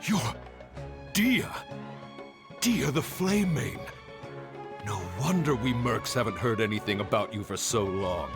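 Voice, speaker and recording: Menacing kidnapper thug for a game: lowish, slow, sneering delivery. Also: male voice, animated. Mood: taunting. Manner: taunting, sneering. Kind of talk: anime game voice acting. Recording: music under game dialogue.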